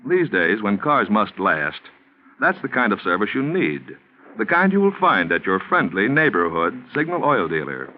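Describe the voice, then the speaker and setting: Animated 1940s male radio announcer. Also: lowish voice, normal speed, a little hiss or hum. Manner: formal, polished salesmanship. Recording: muffled 1940s radio recording, faint music.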